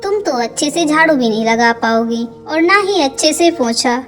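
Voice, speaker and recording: A woman voicing a brisk, matter-of-fact lady employer who gives instructions in a cartoon. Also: high voice, expressive, fairly bright tone. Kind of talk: cartoon dialogue.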